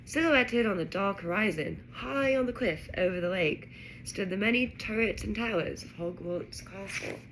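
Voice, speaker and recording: A woman reading a children's novel aloud in an expressive, dramatic narrating style. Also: medium pitch, normal speed, poor audio. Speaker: storybook reader. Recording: faint background noise.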